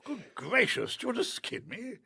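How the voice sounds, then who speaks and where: An actor playing an irritable, greedy military gentleman, blustery and impatient, in a period audio drama. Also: very high-pitched, huge pitch swings, moderate pace, vocal fry, breathy edge, heavily acted. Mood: irritated.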